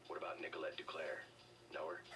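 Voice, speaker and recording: A man playing a cool, curt, unimpressed secret agent in video game dialogue, delivered deadpan. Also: rumbling low, fairly quick. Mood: cool, probing. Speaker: game character voice actor. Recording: slightly distant game audio, loud music behind.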